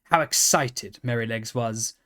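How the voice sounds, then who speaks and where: A man reads a children's story aloud as scripted narration. His pace is slow and measured, and his pitch sits in the middle range. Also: fairly bright tone. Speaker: storybook reader.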